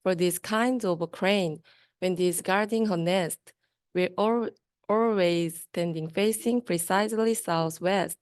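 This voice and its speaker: A young woman reads a rhyming children's book aloud at a slow pace. Her voice is clear and smooth, and the recording is close and dry. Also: lowish voice, darkish tone, no room sound. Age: young adult. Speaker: student reader.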